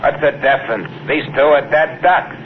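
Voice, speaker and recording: An actor playing a cold, curt, hard-boiled criminal with slangy talk in a 1950s radio space thriller. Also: male voice, mid-range voice, moderate pace, lightly acted. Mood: sardonic. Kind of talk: 1950s radio drama acting. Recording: muffled, lightly noisy old radio broadcast.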